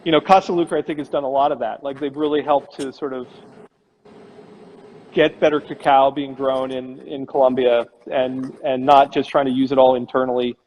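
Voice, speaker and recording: A man's voice: medium pitch, flat delivery, normal speed, dull audio, slight background noise. A man, a chef hosting a casual online interview, speaking in a loose, conversational way with restarts. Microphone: phone on a live video chat.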